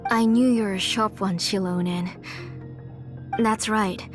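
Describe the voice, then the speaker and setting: An actress playing a weary, devoted aging mother in a video game, speaking in a measured, earnest and solemn way. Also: medium pitch, fairly even intonation, lightly acted. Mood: calm, resolute. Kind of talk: scripted video game voice acting. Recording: music behind the voice.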